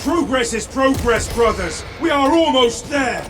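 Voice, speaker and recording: A man's voice: very high-pitched, expressive, measured pace, very noisy. An actor playing a disciplined male warrior-monk squad leader who speaks formally and rallies his brothers. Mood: resolute. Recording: game audio over loud music.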